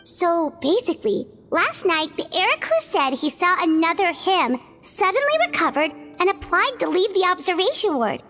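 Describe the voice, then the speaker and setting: A woman voicing an excitable, bouncy little girlish sidekick in a video game. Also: very high voice, moderate pace, hardly any pauses, soft background music, heavily acted. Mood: explaining.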